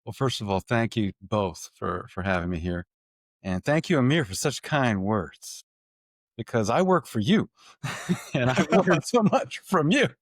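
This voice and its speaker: A middle-aged man from Puerto Rico, a tech executive guest telling his life story in an animated way, laughing often and repeating words as he starts sentences. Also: medium pitch, measured pace, no room sound, clean studio sound. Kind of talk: conversational storytelling.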